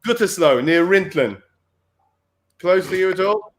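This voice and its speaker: A man from Germany co-hosting a casual comedy chat, throwing in quick wisecracks. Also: high voice, lively intonation. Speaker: show co-host.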